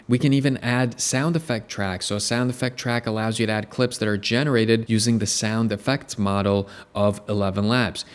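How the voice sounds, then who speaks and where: A man hosting a software tutorial, explaining each step in an upbeat way. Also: mid-range voice. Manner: explanatory, upbeat, walks through steps. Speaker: tutorial host. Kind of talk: screen-recorded software tutorial.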